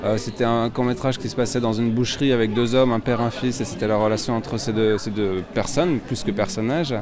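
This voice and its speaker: A man's voice: medium pitch, fairly even intonation, a little fast. A French man, a filmmaker, answering interview questions outdoors, hesitant and full of fillers. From France. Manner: hesitant, many fillers and restarts. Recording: loud crowd behind. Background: crowd noise.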